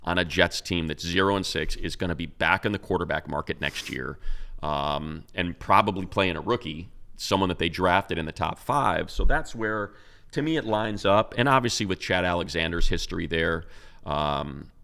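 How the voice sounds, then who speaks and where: Male sports co-host, American, low-pitched with heavy fry, emphatic and conversational football analysis. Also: moderate pace, bright, forceful tone. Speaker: sports radio announcer and podcast co-host. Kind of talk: casual football analysis chat.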